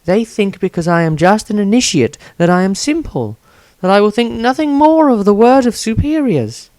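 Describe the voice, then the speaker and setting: Man putting on a high-voiced earnest young priestess. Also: expressive, moderate pace, darkish tone, no echo. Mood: troubled, urgent. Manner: careful, formal phrasing. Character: earnest young priestess, gentle but anxious. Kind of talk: fantasy audiobook character voice.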